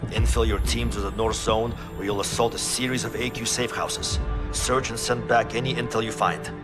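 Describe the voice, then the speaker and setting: A man playing a grave military sergeant, delivering measured, formal mission briefings in a video game. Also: fairly low pitch, steady tone, over loud music, natural voice. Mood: serious, formal. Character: grave male military sergeant co-commanding a coalition. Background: dramatic game score.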